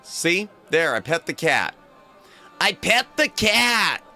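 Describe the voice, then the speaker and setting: A man's voice: high voice, sing-song, slow pace, bright, forceful tone, soft background music. A man livestreaming, tired and straining his throat, chatting casually in a playful, rambling way and humming to himself. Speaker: streamer.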